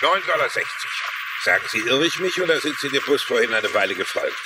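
An actor playing a practical, courteous bus driver in a dubbed TV drama, speaking matter-of-factly. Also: male voice, medium pitch, lively intonation, fairly quick, close to an everyday voice. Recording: distant, very noisy TV soundtrack.

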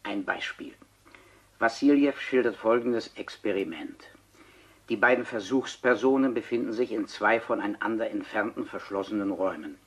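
An actor playing a retired civil servant in a radio crime drama, an older man lecturing precisely and formally about his research in a husky voice. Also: lowish voice, normal speed. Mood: earnest, lecturing. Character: retired civil servant, pedantic, eager amateur telepathy researcher.

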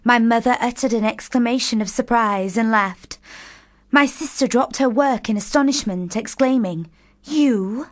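A woman's voice: fairly high pitch, unhurried, lightly acted. A woman playing a playful, romantic young sister in a period radio drama, savouring her words theatrically. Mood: reading aloud.

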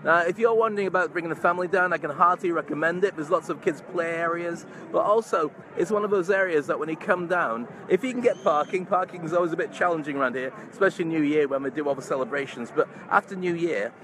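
A man's voice: a little high, fast, few pauses, fairly bright tone. A middle-aged man chatting casually and effusively to his followers in a live vlog, speaking into a phone held at arm's length. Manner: effusive, chummy. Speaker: vlogger, entrepreneur and stage hypnotist. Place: large open park outdoors among crowds. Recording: noisy outdoor night crowd ambience.

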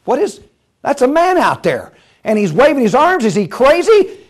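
Man playing an alarmed high-pitched train engineer. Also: huge pitch swings, moderate pace, full character voice. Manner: urgent, exclaiming. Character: alarmed, indignant train engineer, shaken and scolding.

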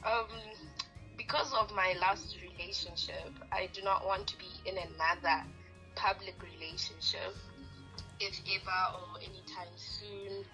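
A young woman in a casual phone conversation, heard through a phone speaker, hedging but gently firm. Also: on the low side, fairly even intonation, measured pace, over music, rough recording. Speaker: caller on the other end of a phone call. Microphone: phone speaker picked up by camera.

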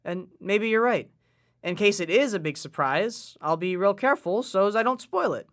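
A man's voice: sits high, expressive, moderate pace, fairly bright tone. An earnest, worried working-class boy. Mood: earnest, reassuring. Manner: folksy old-time slang, eager and sincere. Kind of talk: nostalgic period audio drama acting.

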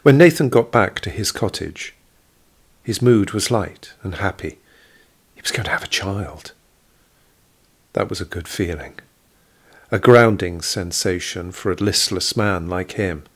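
A man reading scripted literary narration for an audio drama in a measured storytelling manner, with a husky quality. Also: low voice.

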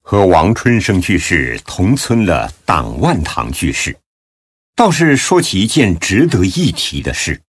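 A deep-voiced man narrates a Buddhist audiobook solemnly. His delivery is slow and measured, yet swings widely in expressive recitation. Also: no room sound. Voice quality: deep, husky.

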